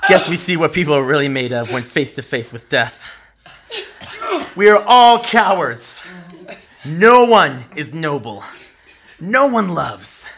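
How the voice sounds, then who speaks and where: Menacing, cynical captor. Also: male voice, on the high side, big pitch swings, a little slow, pauses often, fairly bright tone, exaggerated voice. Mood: contemptuous, ranting. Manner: menacing, preachy, mocking. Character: cruel, cynical male captor taunting his victims. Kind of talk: audio drama acting.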